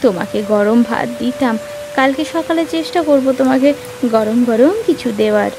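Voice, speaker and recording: A woman's voice: a little high, normal speed, background noise, close to an everyday voice. A woman playing a humble, hospitable poor village wife in a children's moral cartoon. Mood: welcoming.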